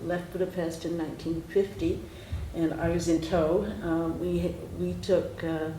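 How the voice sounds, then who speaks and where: A woman in her sixties from Hungary rambles gently and corrects herself mid-sentence, in a low, flat, mellow voice. The meeting room is echoey, with a faint hiss. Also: a little slow. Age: 60s. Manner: meandering, self-correcting. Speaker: lawyer. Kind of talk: roundtable introduction.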